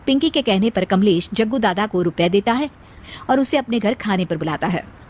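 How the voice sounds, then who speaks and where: A woman's voice: medium pitch, expressive, normal speed, a little mellow. A woman delivering scripted narration as the narrator of a moral story.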